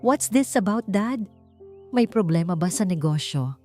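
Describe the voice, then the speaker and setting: A woman's voice: fairly low pitch, expressive, a little slow, warm tone, faint music behind. A woman voicing a spoiled, arrogant young playboy son in an audiobook, defiant and indignant. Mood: uneasy. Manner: defiant, indignant, mixing English and Tagalog.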